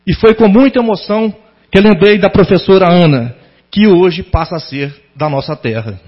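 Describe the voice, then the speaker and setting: A middle-aged Brazilian man delivering a prepared public tribute in a formal, sentimental, deliberately phrased way. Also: high-pitched, husky. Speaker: former pupil giving a tribute to a teacher. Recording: dull lo-fi sound.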